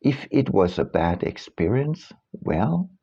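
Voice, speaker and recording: A man reading his own book aloud as scripted narration, in a deliberate, emphatic manner with dramatic pauses, on a dull, lo-fi recording. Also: fairly low pitch, big pitch swings, warm tone, breathy edge.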